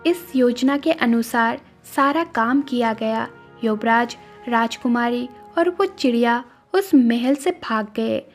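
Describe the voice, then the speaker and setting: A woman's voice: a little high, slow. A woman giving scripted narration as the storyteller of a cartoon moral story. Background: faint music.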